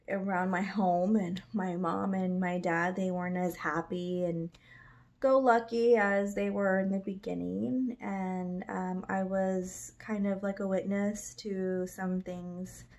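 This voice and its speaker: A woman tells a personal story straight to camera. Her voice sits at an ordinary middle pitch. She talks loosely and wanders, packing her sentences with "like" and filler words. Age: 30s. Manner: rambling, many "like" fillers, laughs a lot. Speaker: vlogger.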